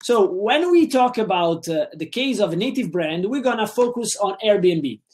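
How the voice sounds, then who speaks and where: A man's voice: sits high, lively intonation, normal speed, darkish tone. A middle-aged Italian man with an Italian accent, giving an online lecture over a webcam call, with many fillers and repeated words. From Italy. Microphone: webcam video call.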